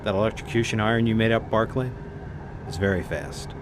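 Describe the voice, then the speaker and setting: An actor in a radio drama playing a calm, logical polar researcher with a dry, matter-of-fact way of talking. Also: male voice, fairly low pitch, brisk, background noise, lightly acted. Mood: grimly dry.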